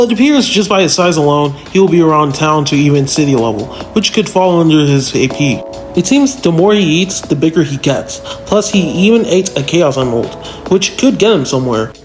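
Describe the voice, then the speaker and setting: Male video commentator, voice a bit on the high side, informal and analytical, conversational direct address, prominent music bed. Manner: conversational, addresses viewers directly with rhetorical questions. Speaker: video narrator, fan power-scaling reviewer. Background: background music.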